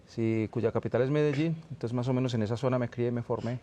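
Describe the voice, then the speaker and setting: A Colombian man in his thirties with an Antioquia accent speaks in a mellow, relaxed voice at middle pitch. He is a priest answering reflectively, pausing to search for his words.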